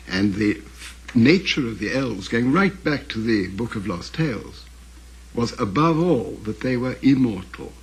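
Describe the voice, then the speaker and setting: An older Englishman speaks very hoarsely and at a slow, deliberate pace. His phrasing is scholarly and halting, often circling back to correct itself as he reflects in an interview. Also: on the low side, low-quality recording. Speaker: interviewee, author's son recalling his father.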